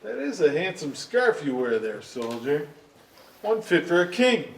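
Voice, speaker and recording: Man playing a high-voiced old storyteller, echoey. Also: sing-song, measured pace. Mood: earnest. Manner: earnest, theatrical. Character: kindly old storyteller, former royal page. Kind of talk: musical theatre staged reading. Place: indoor stage at an arts venue. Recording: echoey stage, distant mic, faint noise.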